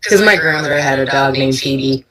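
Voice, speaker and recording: A woman's voice: very deep voice, moderate pace, a crowd in the background. A young woman from Alabama on a video call, giving short laid-back answers and patiently correcting pronunciation. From Alabama, United States. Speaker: stranger on a video chat. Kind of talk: casual video chat.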